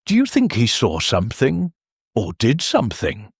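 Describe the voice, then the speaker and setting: A man's voice: mid-range voice, huge pitch swings, moderate pace, gravelly, breathy edge, no room sound, clean studio sound, exaggerated voice. A man voicing an anxious, wronged woman in a storybook mystery, earnest, questioning and easily shocked. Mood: puzzled.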